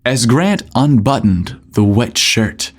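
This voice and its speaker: A man's voice: mid-range voice, animated, takes it slowly. A man hosting a podcast and narrating a scripted story in a theatrical, suggestive way.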